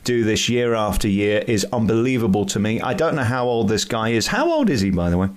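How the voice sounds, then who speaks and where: Bright-voiced outspoken British male radio host. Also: fairly low pitch, very animated, a little fast. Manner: outspoken, emphatic. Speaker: interview host. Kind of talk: conversational radio interview.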